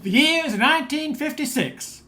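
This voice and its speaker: Older woman narrating with animated pitch. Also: normal speed, faint music behind. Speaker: narrator and announcer. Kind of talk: scripted radio play narration.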